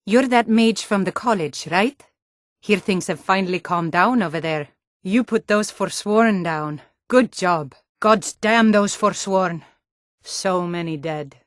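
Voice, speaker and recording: A woman's voice: medium pitch, unhurried, no room sound, studio quality, lightly acted. A woman voice actor playing a tough, wary female Nord city guard in a fantasy video game, with blunt, colloquial delivery. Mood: gruff, skeptical. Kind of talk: scripted fantasy game dialogue in short standalone lines.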